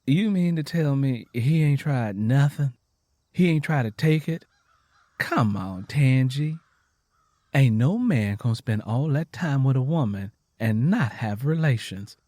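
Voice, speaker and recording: A man's voice: medium pitch, lively intonation, a little slow, mellow, dry recording, natural voice. A man voicing a wary, teasing Southern country wife in her twenties, with blunt, folksy dialect, in an audio story. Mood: teasing, suspicious.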